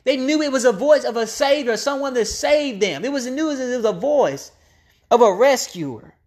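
High-voiced woman preaching with emphatic repetition. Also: expressive, moderate pace, a little mellow. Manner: emphatic, repeats phrases for build-up. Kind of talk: impassioned extemporaneous sermon.

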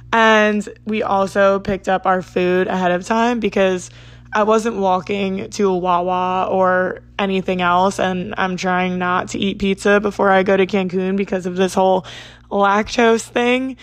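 A woman in her twenties from South Jersey, hosting a podcast in a rambling, chatty monologue, her voice hoarse and raspy. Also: medium pitch. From South Jersey, United States. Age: 20s. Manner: rambling, lots of "like" and asides. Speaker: podcast host.

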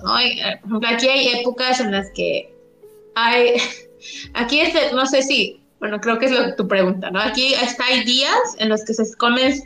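A woman's voice: a little high, sharp tone. Woman from Yucatán, echoey room. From Mexico, Yucatán. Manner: rambling, many restarts and fillers. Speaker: interviewee. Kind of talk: casual conversational interview.

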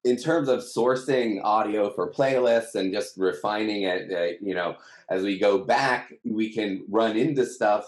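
Man, low register, casual explanatory panelist, roomy echoing recording. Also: a little slow. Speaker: team member at an audio startup.

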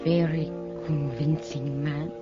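Proud, flirtatious society lady, unhurried and coy, vintage radio drama, muffled sound with loud music underneath. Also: low-pitched, level tone. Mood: coy, softening. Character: proud, flirtatious lady, coolly aloof with her suitor. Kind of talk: 1960s radio drama acting. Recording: muffled old radio recording, music behind.

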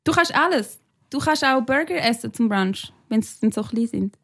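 Verspielte Schweizer Podcasterin. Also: female voice, on the high side, expressive, normal speed, fairly bright tone. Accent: Swiss German. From Switzerland, Sarganserland area. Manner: playful, teasing. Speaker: podcast host. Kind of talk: casual podcast chat.

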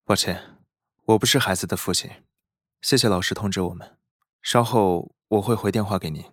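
A man's voice: lowish voice, normal speed, fairly bright tone, slightly rough, dry recording, studio quality. A man playing a polite, composed executive secretary in a modern Chinese audio drama.

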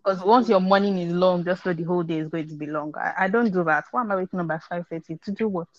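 Young woman guest, from Nigeria, lowish voice, halting delivery, dull lo-fi sound. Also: no room sound. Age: young adult. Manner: hesitant, many ums and restarts. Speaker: podcast guest. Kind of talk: casual chat. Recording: dull lo-fi audio.

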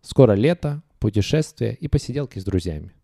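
A man's voice: mid-range voice, expressive, brisk, creaky, warm tone, no room sound, clean studio sound. A man hosting a comedy podcast, announcing contest prizes in a playful, mock-grand way and reading out notes with asides. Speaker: podcast host.

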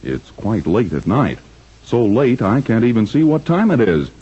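A man's voice: on the low side, sing-song, normal speed, warm tone, faint music behind. A man playing a genial, wry 1940s radio announcer and narrator with a theatrical manner. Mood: hushed, wry. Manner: theatrical, tongue-in-cheek. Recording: muffled, faintly noisy old radio recording.

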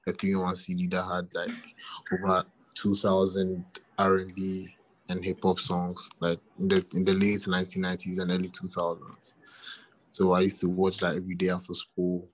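A man in his twenties with a Ghanaian accent, a musician chatting in an interview, relaxed and often repeating himself. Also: low-pitched, moderate pace, a little hiss or hum, poor audio. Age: 20s. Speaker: musician guest. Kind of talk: casual interview chat.

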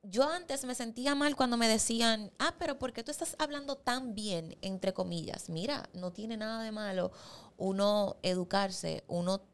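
A woman from the Dominican Republic with a Dominican Spanish accent, interviewing in a reflective, deliberate way. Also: fairly high pitch, clear, resonant tone. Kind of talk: casual interview.

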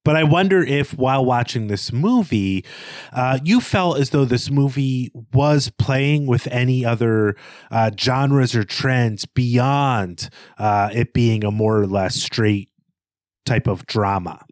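A man's voice: mid-range voice, takes it slowly, a little mellow, dry recording, clean studio sound. A man co-hosting a podcast, discussing film casually in a thoughtful, hesitant way. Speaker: podcast co-host.

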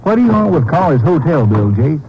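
Folksy sheriff, muffled. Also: male voice, big pitch swings, a little fast, warm tone, slight background noise. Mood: puzzled, curious. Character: folksy, inquisitive small-town Texas sheriff. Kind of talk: 1950s radio drama acting. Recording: muffled, rumbly old radio recording.

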